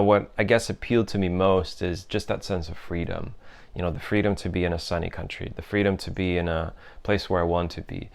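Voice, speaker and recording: A young man telling his life story in a thoughtful, unhurried way, with many fillers and restarts. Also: sits low, gravelly, clean tone. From Europe, raised in several countries. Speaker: host. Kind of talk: reflective personal monologue.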